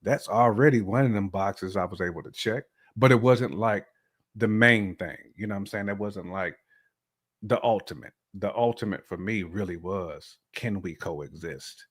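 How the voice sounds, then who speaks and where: A man's voice: on the low side, moderate pace. A man talking casually as a podcast guest, in a colloquial, slangy way with frequent check-in phrases. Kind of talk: casual chat, telling personal views.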